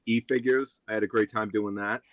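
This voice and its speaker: A man, a guest chatting in an easygoing, relaxed way. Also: lowish voice, brisk, no echo. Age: 50s. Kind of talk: casual chat.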